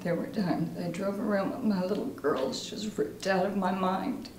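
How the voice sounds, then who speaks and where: A woman performing a dramatic monologue as a guilt-ridden recovering alcoholic mother, halting, sombre and confessional. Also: mid-range voice, natural voice. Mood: subdued, ashamed. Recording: roomy echo, faint noise.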